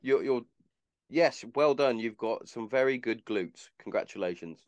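A man's voice: mid-range voice, unhurried, no room sound, muffled recording. A man in his mid-thirties in casual chat, blunt and sardonic, swearing freely. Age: 30s.

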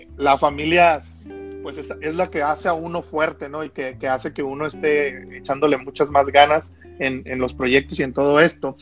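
Male host, higher voice, upbeat interviewer, faint guitar behind. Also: muffled recording. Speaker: podcast host. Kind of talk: casual livestream interview.

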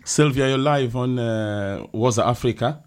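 A man's voice: mid-range voice, measured pace, clear, resonant tone. A man hosting a radio request show, taking a caller and asking her questions in a friendly way. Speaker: radio host taking calls. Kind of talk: phone-in radio chat.

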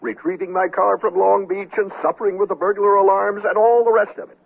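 An actor playing a flustered, excitable company chairman in a radio comedy, with a hesitant, blustery, stammering delivery. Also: high-pitched, fairly quick, vocal fry, breathy edge, narrow, tinny audio, heavily acted. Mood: relieved. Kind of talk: 1970s radio comedy acting. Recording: thin, noisy old radio broadcast.

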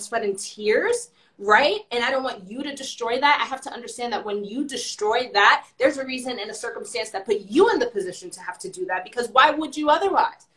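A woman's voice: on the high side, fairly bright tone. A woman in casual chat, arguing her point with passion and emphasis.

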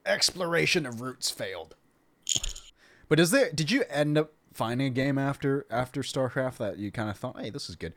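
A man's voice: mid-range voice, animated, moderate pace, a bit bright, no room sound. A man hosting a casual podcast interview, rambling with frequent restarts and laughter. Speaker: podcast host.

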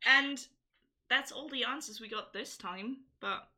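A woman's voice: fairly high pitch, unhurried, sharp tone. A woman co-hosting a podcast, chatting casually and reading listener answers aloud. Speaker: podcast co-host. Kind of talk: casual chat.

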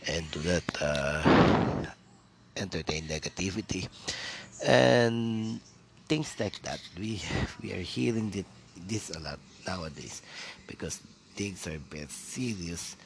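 A man's voice: low voice, animated, slow, gravelly, noisy background. A Filipino man whose first language is Tagalog, hosting a solo podcast in English, rambling with many fillers and restarts. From Philippines. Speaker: podcast host.

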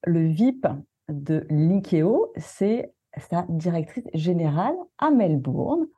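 A woman hosts a relaxed business interview, talking in a chatty way with plenty of hesitations. Her voice is very dark and mellow and sits low. The recording is dry and close. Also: slow, husky, no room sound, muffled recording. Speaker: podcast host. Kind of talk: casual interview. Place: in Australia.

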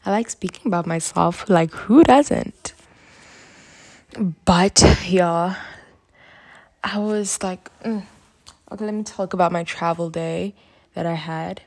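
A woman's voice: lowish voice, slow pace, pauses often, a little mellow. A girl of about twelve from Uganda with an American-sounding accent, chatting casually and giggling through a rambling vlog from her school hostel. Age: about 12 to 13, grade seven. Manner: chatty, giggly, goes off on tangents. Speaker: vlogger. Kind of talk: casual rambling chat to camera.

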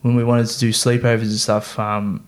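A man's voice: lowish voice, flat delivery, moderate pace. A young man, a podcast guest, talking casually and rambling through fillers and restarts. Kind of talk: casual chat.

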